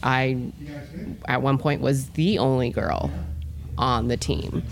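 A woman's voice: a bass voice, takes it slowly. A woman in her thirties from New Mexico, a podcast guest talking earnestly with many fillers. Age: 30s. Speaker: gym staff member, guest. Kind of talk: casual podcast talk.

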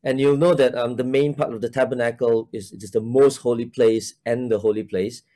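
Male guest, 40s, from Malaysia; mellow, hesitant church minister, heavy on fillers, over a video call. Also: medium pitch, fairly even intonation, moderate pace. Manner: hesitant, many fillers and restarts. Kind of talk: casual interview talk.

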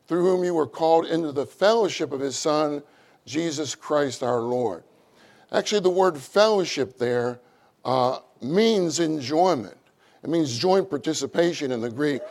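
A middle-aged man with a loud voice, preaching a sermon with animated, acted-out quotes. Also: vocal fry. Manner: animated, acts out quotes with rising pitch.

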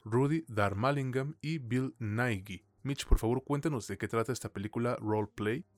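A man's voice: mid-range voice, moderate pace, no room sound. A man with a Mexican accent co-hosting a film podcast, chatting casually in informal, slangy, mildly profane speech. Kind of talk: casual film review chat.

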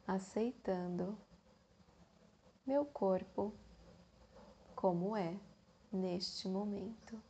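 A woman with a Brazilian Portuguese accent leads a guided meditation in a soothing manner, leaving long pauses between phrases. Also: medium pitch, warm tone, highs cut off, a little hiss or hum.

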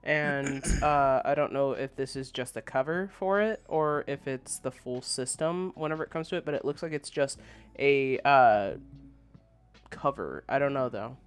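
Clear-voiced man sharing gaming news casually. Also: mid-range voice, moderate pace. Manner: informal, conversational. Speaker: co-host of a gaming news podcast.

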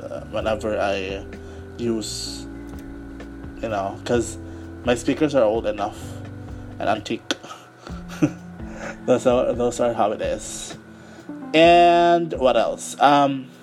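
A man hosting a casual solo podcast, his voice raspy from a cold, rambling with many fillers and restarts. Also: mid-range voice, darkish tone. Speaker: podcast host.

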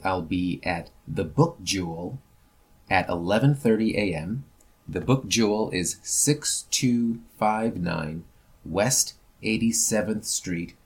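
Male author, deep and unhurried, slightly rough tone, informal explanatory delivery. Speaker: featured storyteller, author. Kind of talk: casual, explanatory book promotion talk.

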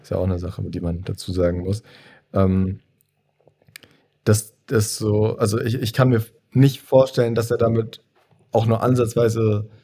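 A young adult man co-hosting a podcast, chatting casually about films in a measured, thoughtful way with frequent hesitations. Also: on the low side, little pitch movement, warm tone, husky. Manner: measured and thoughtful, hedging with fillers. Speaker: podcast co-host. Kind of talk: casual film-discussion chat.

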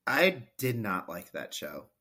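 Bright-voiced man telling a rambling story. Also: fairly low pitch, very animated, takes it slowly, breathy edge. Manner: rambling, self-deprecating storytelling. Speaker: podcast co-host. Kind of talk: casual chat.

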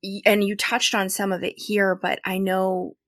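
A woman's voice: medium pitch, little pitch movement, moderate pace, no room sound, clean studio sound. A woman hosting an interview podcast, asking thoughtful questions in a conversational way. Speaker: podcast host.

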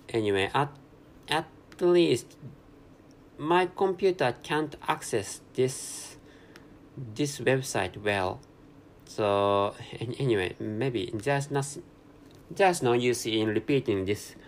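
A man with a Japanese accent hosting a solo podcast from a hotel room, speaking English slowly and carefully, with constant restarts and self-corrections. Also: fairly low pitch, a little hiss or hum. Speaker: podcast host. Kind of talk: unscripted solo podcast monologue.

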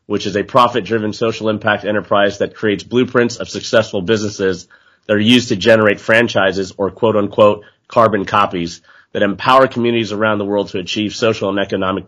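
A man's voice: fairly low pitch, fairly bright tone. A man hosting a podcast interview, chatting casually and loosely, with lots of "you know" and "uh". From United States. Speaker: podcast host.

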